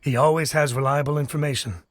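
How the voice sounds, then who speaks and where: A man's voice: on the high side, fairly even intonation, quick pace, slight background noise, natural voice. A man voicing a gossipy, blunt village man in a video game, scornful and dismissive as he tells what he knows. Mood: mocking, incredulous. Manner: blunt, mocking, storytelling. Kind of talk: animated video game dialogue.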